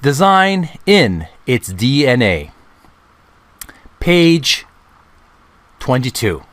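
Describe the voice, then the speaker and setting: A middle-aged man hosting a channel, reading a book aloud in a deliberate, halting way, phrase by phrase. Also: medium pitch, big pitch swings, a bit bright, dry recording. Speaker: video channel host.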